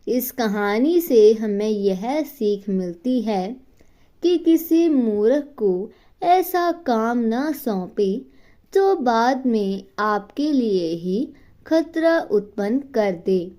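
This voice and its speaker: A woman narrating a scripted children's bedtime story in simple, deliberate phrases with pauses. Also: fairly high pitch, expressive, mellow.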